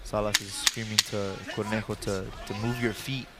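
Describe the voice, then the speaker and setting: A man, a former boxer, giving measured, analytical color commentary on a boxing match. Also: lowish voice, level tone, over loud music. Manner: measured, analytical, repeats key phrases.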